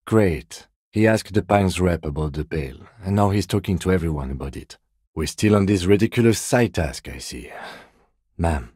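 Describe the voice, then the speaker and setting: An actor playing a composed, dry police detective in a video game, speaking in a measured, restrained and formal way with exasperation held in check. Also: male voice, low-pitched, a little mellow, slightly rough, no room sound. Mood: weary, exasperated. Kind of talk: scripted video game dialogue.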